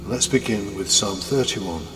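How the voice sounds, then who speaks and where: A man's voice: fairly low pitch, a little slow, a bit bright. A man giving a scripted devotional talk in the role of a deacon and host. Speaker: permanent deacon hosting a homily podcast. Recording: echoey reverberant space, music behind.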